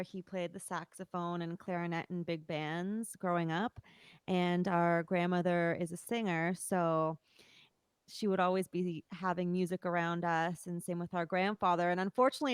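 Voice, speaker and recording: Clear, lowish young woman, dry sound. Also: steady tone, normal speed, no echo. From Toronto, Canada. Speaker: interview guest, country singer-songwriter. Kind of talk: casual interview chat.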